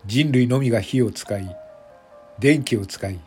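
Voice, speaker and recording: A man reading scripted narration for an audio drama in a measured, deliberate manner, phrase by phrase. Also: mid-range voice, darkish tone, faint music behind.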